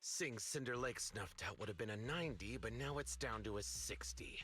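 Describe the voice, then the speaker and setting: A man's voice: fairly low pitch, moderate pace, sharp tone, soft background music. An actor voicing a scheming, power-hungry henchman in a video game, mocking and theatrical with feigned deference. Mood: coldly calculating. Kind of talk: dramatic video game voice acting.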